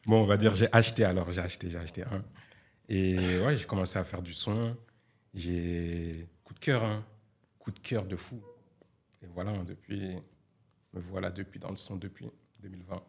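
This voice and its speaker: A twenty-three-year-old man with a very low voice talks about making music. He keeps it relaxed and slangy, chatting easily with the host. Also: moderate pace, vocal fry. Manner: laid-back slangy talk. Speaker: guest, music producer. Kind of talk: casual chat.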